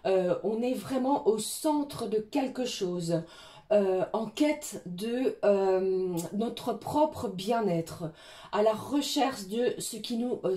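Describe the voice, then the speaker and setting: A French woman reads oracle cards in a soft, hesitant way, her voice hoarse from a cold. Her tone is mellow and dark, and her pitch sits in the middle. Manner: gentle, many hesitations. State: has a cold, hoarse and coughing. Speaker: tarot and oracle card reader. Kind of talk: weekly card reading guidance.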